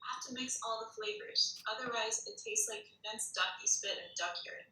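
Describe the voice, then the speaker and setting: A woman's voice: a little high, fairly quick, sharp tone. A woman reading the part of a bold, rule-breaking fourteen-year-old girl at a script read. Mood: brash. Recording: echoey, roomy sound.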